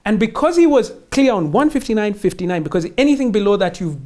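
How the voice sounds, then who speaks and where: A woman's voice: mid-range voice, sing-song, fast talker, a little mellow. A woman explains things in an interview as a finance instructor, emphatic and teacherly, often posing questions and answering them. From Kenya or wider Africa.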